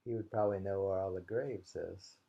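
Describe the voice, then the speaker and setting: A man's voice: sits low, fairly quick, creaky, husky, dull audio. A man reading haiku aloud, repeating lines and musing on them in casual commentary. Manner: musing, repeats each poem. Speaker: reader and host of a poetry reading.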